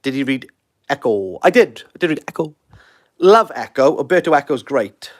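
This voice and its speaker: A man's voice: medium pitch, lively intonation, moderate pace. A Welsh man in his thirties hosting a casual livestream, rambling and self-deprecating as he answers viewer comments. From Wales. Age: 30s. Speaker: livestream host.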